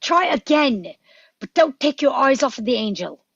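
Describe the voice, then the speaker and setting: A woman playing a quick-thinking, commanding adventurer doctor in a script read, with a husky voice and urgent manner. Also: high-pitched, animated, a bit bright, no echo, lo-fi sound, exaggerated voice.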